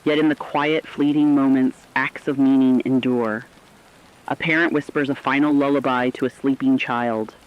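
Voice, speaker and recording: A man's voice: mid-range voice, steady tone, moderate pace, lightly acted. A man playing a solemn, compassionate radio broadcaster in humanity's final hours, with earnest, careful phrasing in a scripted radio drama. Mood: solemn, tender. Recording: dull, muffled audio.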